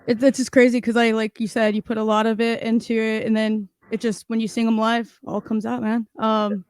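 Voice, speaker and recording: A woman's voice: fairly high pitch, level tone, fairly quick, darkish tone, clear, resonant tone, no room sound. A young woman hosting an interview, chatting casually with frequent likes and mm-hmms. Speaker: interviewer, podcast host.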